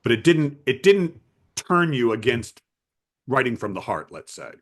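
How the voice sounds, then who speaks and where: An American man from Rhode Island interviews a guest in a casual, conversational way. His voice sits at an average male pitch. He is effusive and exclamatory, and he readily interjects. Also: very animated, measured pace. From Providence, Rhode Island, United States. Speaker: podcast interviewer and host.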